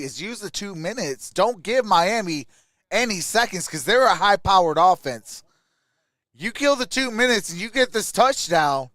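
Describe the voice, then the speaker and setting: High-pitched man calling a game, dry close sound. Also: sharp tone, dry recording. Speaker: live stream host and play-by-play caller. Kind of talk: fan stream commentary, reacting live.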